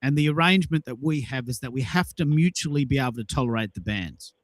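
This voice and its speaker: A man's voice: mid-range voice, animated, quick pace, dry recording. A man hosting a casual audio chat, telling personal anecdotes in an emphatic way and repeating himself for effect. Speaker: host of a social audio show.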